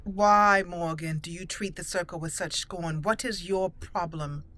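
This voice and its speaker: Bright-voiced woman roleplaying through a fantasy game. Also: on the low side, a little slow. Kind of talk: let's play commentary with in-character dialogue.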